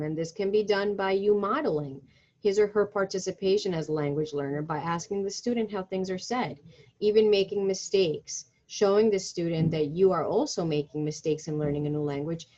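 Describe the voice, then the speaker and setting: A woman presenting a teacher-training webinar, reading through her slides in a steady, instructive way. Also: on the low side. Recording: muffled lo-fi webinar audio.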